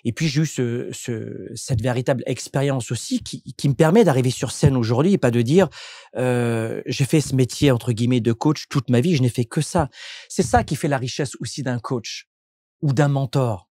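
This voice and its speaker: A man's voice: medium pitch, normal speed, warm tone, no room sound, clean studio sound. A man from France, a coach and entrepreneur, answering interview questions in a reflective, explanatory way with frequent restarts.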